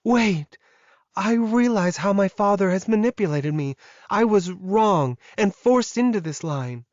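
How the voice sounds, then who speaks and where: A man's voice: high voice, expressive, unhurried, a little mellow, no echo, dull audio, voice pushed up. A man voicing an evil young werewolf woman in an audiobook, pleading and emotional while she schemes. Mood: desperate pleading. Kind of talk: audiobook character acting.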